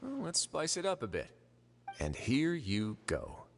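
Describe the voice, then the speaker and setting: A man playing a composed, dryly amused prison administrator in a video game, speaking in a measured, suave way. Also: medium pitch, wildly swooping pitch, gravelly, slight background noise, exaggerated voice. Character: composed, wry male prison fortress administrator. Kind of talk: video game character acting. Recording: game music playing behind the voice.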